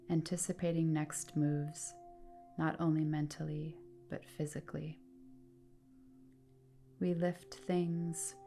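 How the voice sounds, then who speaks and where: A woman guiding a relaxation meditation in a calm, soothing manner, speaking in deliberate phrases with pauses. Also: deep voice. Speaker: yoga nidra guide. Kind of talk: guided relaxation meditation, scripted narration.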